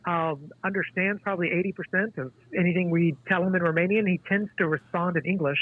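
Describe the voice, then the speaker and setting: A man in his forties, a guest author, speaking in a halting, thoughtful way with many restarts. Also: sits high. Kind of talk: casual interview conversation. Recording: tinny dry phone line.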